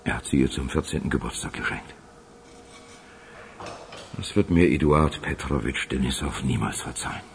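A man acting a gruff, experienced police chief under strain in a German radio crime drama. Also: a bass voice, moderate pace, long pauses, slight background noise. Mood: grave.

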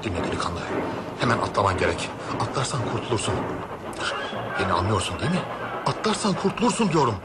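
A man's voice: on the low side, sing-song, fast. A man playing an anxious, insistent male train passenger in a radio drama, speaking with formal, pleading urgency. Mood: urgent, insistent. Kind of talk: Turkish radio drama acting. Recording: noisy old radio play recording.

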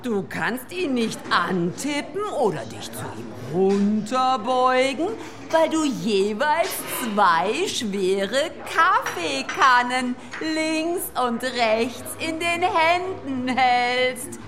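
High-pitched, very slow woman narrator. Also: very animated, music in the background. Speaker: narrator setting scenes. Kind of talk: scripted second-person scene narration.

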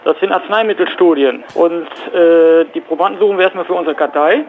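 A man's voice: on the high side, fairly quick, slight background noise. A matter-of-fact man explaining a procedure on a business phone call as a study recruiter. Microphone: telephone. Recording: thin band-limited phone line.

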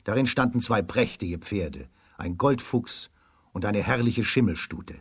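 Husky male fairy-tale narrator, dry studio sound. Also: lowish voice, measured pace, dry recording. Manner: dramatic storytelling. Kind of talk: scripted fairy-tale narration for children's radio play.

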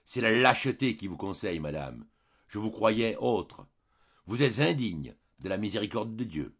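A severe, zealous priest, acted by a male audiobook reader, preaches and accuses with commanding force. The pitch swings widely and the voice is husky, in a slightly dull recording. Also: very animated, moderate pace, darkish tone. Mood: stern, scornful. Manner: vehement, moralizing, commanding, rhetorical. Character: stern, fanatical priest, wrathful and accusing.

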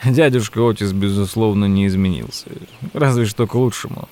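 An actor playing a thoughtful, polite nephew who narrates an audio drama in a measured, wry way. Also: male voice, fairly low pitch, darkish tone, natural voice. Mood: wry, reflective. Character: thoughtful, polite nephew recounting an odd tale. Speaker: narrator and lead character.

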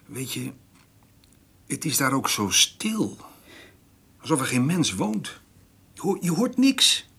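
A middle-aged farmer in a radio drama, brooding and plainspoken. His voice is medium-pitched and rough with huskiness, and he stumbles into his sentences with hesitant restarts. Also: huge pitch swings, takes it slowly, long pauses, bright, forceful tone, exaggerated voice. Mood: guilty, brooding. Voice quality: husky. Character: troubled farmer, rough-spoken, brooding over his mother. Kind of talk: radio play acting.

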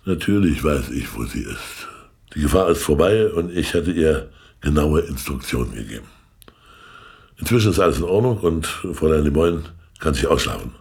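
An actor playing a shrewd, all-knowing informant in a radio play, lecturing in a pedantic, sardonic way. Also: male voice, extremely low pitch, animated, moderate pace, gravelly, a little mellow, heavily acted. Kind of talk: German radio play acting.

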